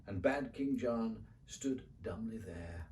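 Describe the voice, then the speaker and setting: A man recites narrative verse for children in a theatrical, rhythmic way. His pitch rises and falls widely. He sounds slightly away from the microphone, with faint noise behind him. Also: slow, distant mic. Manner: expressive, rhythmic, theatrical phrasing. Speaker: poetry reader.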